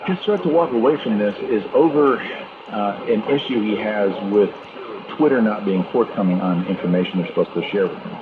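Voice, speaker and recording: A man's voice: fairly low pitch, fairly quick. A man hosting a radio show, giving news commentary in a conversational, opinionated way, heard over shortwave radio. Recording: noisy, muffled shortwave radio reception with fading. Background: loud radio static and interference.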